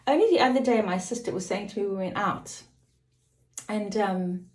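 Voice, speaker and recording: Slightly croaky woman chatting, echoey room. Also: mid-range voice, normal speed, darkish tone. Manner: rambling, digresses and apologizes. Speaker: lifestyle vlogger. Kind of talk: casual chat to camera. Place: home.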